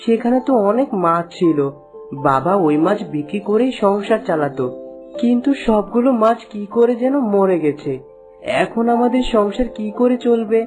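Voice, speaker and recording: A woman's voice: mid-range voice, moderate pace, over music, natural voice. A woman voicing a curious, friendly young village boy in a children's cartoon, speaking earnestly. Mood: worried. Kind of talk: children's cartoon story dialogue.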